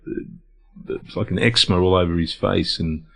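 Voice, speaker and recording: A middle-aged man talks in a deep voice. He speaks reflectively in a casual interview and often restarts his sentences or repeats words. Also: steady tone, moderate pace, vocal fry, fairly bright tone. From Lebanon, raised in Australia. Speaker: guest, founder of a men's health organisation.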